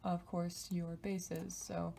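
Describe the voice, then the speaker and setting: A woman's voice: on the low side, level tone, slow pace, vocal fry, mellow, slight background noise. A woman giving a casual behind-the-scenes walkthrough as a composer, explaining things in an informal, slightly hesitant way. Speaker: podcast composer. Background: music demo clips playing.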